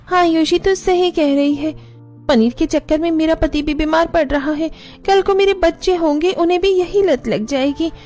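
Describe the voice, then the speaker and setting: A woman voicing a caring sister-in-law in a cartoon, concerned and gently scolding. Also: very high voice, normal speed, soft background music. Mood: resolved.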